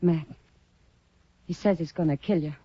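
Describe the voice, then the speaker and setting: A woman's voice: deep voice, moderate pace, lightly acted. A woman playing a worried frontier saloon woman. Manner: urgent, worried. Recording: dry muffled 1950s radio broadcast.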